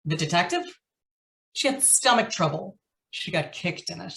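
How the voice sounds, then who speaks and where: Defiant noir ex-con dame, played by a woman: sardonic, very animated pitch, off-mic in an echoey space. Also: normal speed, gravelly. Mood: defiant, bitter. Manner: sardonic, challenging. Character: defiant, bitter, tough female ex-convict. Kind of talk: old-time radio noir acting. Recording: echoey stage, far from mic.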